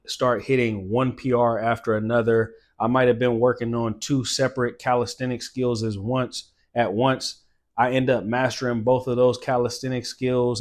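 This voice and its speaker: A man in his late thirties hosting a fitness channel, giving an earnest, emphatic motivational talk and repeating phrases for stress. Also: medium pitch. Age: late 30s.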